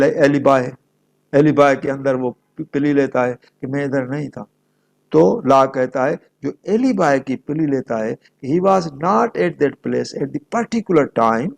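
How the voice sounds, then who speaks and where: A man's voice: fairly high pitch, vocal fry, darkish tone. A man with a Pakistani accent giving an explanatory law lecture in a didactic way, posing questions and answering them as he works through examples. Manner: didactic, switches between Urdu and English, poses questions and answers them.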